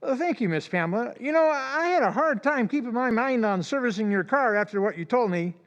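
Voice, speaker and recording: An actor playing a polite, deferential small-town garage mechanic in an old-time radio drama, hesitating with little fillers. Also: male voice, extremely high pitch, expressive, brisk, long reverb tail, muffled recording. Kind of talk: 1940s radio acting.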